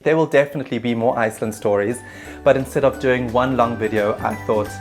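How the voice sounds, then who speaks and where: A man's voice: medium pitch, brisk, faint music behind. A man narrating his own travel story in a casual, self-mocking voice-over.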